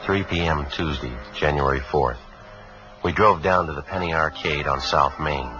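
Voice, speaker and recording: An actor playing a terse, businesslike police sergeant who narrates his cases in a clipped, deadpan way, in 1950s radio drama style. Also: a bass voice, normal speed, poor audio. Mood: deadpan, factual. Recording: vintage radio broadcast recording with music.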